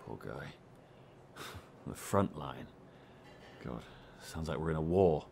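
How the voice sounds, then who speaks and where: A man's voice: rumbling low, animated, slow, vocal fry, darkish tone, a little hiss or hum, full character voice. A man playing a weary, dry-witted hospital doctor in a naturalistic audio drama. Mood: wry, sombre.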